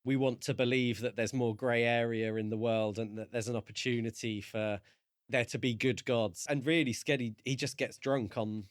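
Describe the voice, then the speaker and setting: Man, medium pitch, dry recording, podcast co-host, thoughtful halting phrasing, casual discussion. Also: steady tone, dry recording.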